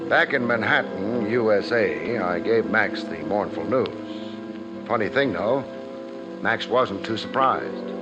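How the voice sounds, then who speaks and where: A man's voice: fairly low pitch, slow. A laid-back private eye in an old 1950s radio drama. His delivery is wry, clipped and casual, full of hardboiled wisecracks. The recording is dull, with prominent music underneath. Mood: wry narration. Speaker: detective lead and first-person narrator.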